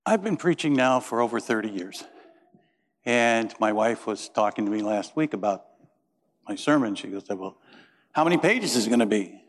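A man's voice: lowish voice, lively intonation, moderate pace. A middle-aged man from Indiana preaching a sermon as pastor, folksy and joking as he tells stories. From Indiana, USA. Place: church. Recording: reverberant church sanctuary.

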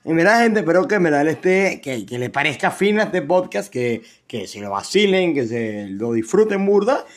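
A man's voice: fairly high pitch, sing-song, normal speed, few pauses. A young man from Venezuela with a Venezuelan accent, hosting his first podcast alone in a rambling, self-joking way full of fillers and restarts. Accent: Venezuelan Spanish. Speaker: podcast host. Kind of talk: casual solo podcast chat.

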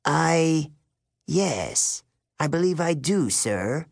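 A rigid, formal older woman teacher at a military school, acted by a male narrator. She is stern and stiff in manner, with deliberate pacing and a lively pitch, like a character in a storybook reading. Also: gravelly, no echo, studio quality, full character voice. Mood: dazed, hesitant. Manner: demanding, formal.

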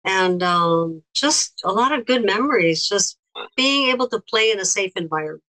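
Bright-voiced woman with a slight accent. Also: medium pitch, expressive, soft background music, poor audio. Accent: slight foreign accent. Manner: gentle, unhurried, with pauses and fillers. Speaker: interview guest. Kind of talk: recollecting in conversation.

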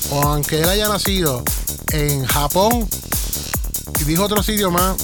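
A man's voice: high voice, measured pace, music in the background. A man with a Puerto Rican accent hosting live radio talk, rambling in a sing-song way with many restarts. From Puerto Rico. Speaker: radio show host.

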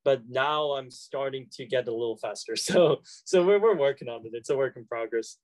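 A man's voice: mid-range voice, moderate pace, no room sound, low-quality recording. A young man, a college student, answering questions casually with many fillers and restarts. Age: young adult. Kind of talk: casual conversation.

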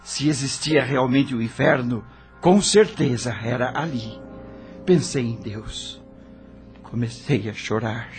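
A man's voice: mid-range voice, slow pace, soft background music. An actor playing an anguished dead rock star who narrates his own suffering dramatically in a radio drama.

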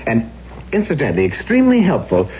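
An actor playing a smitten, obsessive ghost of a jilted man in a 1970s radio drama, halting and insistent, repeating his words with breathy intensity. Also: fairly high pitch, wildly swooping pitch, slight background noise. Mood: eager, evasive. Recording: dull vintage radio recording with music.

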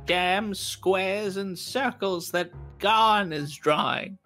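Very slow-talking man, bright voice. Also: sits high. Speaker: tabletop game player. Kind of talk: playful in-character improvisation. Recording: music playing behind the voice. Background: music.

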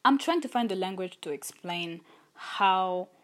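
A woman's voice: mid-range voice, animated, unhurried. A young adult woman hosting a solo podcast, talking in an earnest, thoughtful and openly personal way. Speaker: podcast host. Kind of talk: reflective solo podcast talk.

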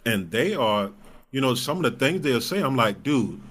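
Male podcast guest, thirties, average pitch, informal halting delivery, blunt conversational talk. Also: big pitch swings, fairly bright tone. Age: 30s. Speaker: podcast guest, stand-up comic. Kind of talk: casual conversational interview.

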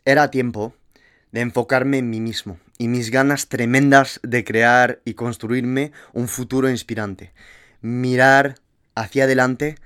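A young man with a French accent hosts his own podcast, upbeat and motivational. His voice is smooth and resonant at a middle pitch. He stresses his words with emphasis. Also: a bit bright. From France. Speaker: podcast host. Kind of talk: solo podcast monologue.

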